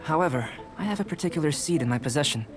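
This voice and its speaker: A man playing a calm, detached young scholar and alchemist in a video game, speaking in a measured, formal, analytical way. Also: on the high side, music in the background, close to an everyday voice. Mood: earnest.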